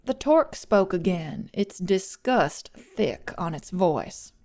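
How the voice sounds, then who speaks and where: A woman's voice: on the low side, animated, slow pace, a little mellow. A woman narrating an audiobook, reading scripted fiction.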